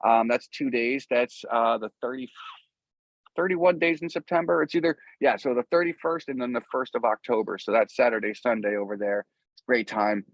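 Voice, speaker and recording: A man's voice: mid-range voice, brisk, dry recording. Guy musician doing a casual interview, rambly and earnest, slangy, kinda muffled dry audio.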